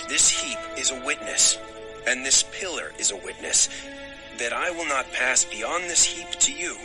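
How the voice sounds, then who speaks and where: An actor playing a possessive, solemn patriarch in a dramatized scripture recording, speaking in emphatic declarations. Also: male voice, mid-range voice, lightly acted. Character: Laban, possessive, solemn patriarchal father-in-law. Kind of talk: dramatized audio scripture acting.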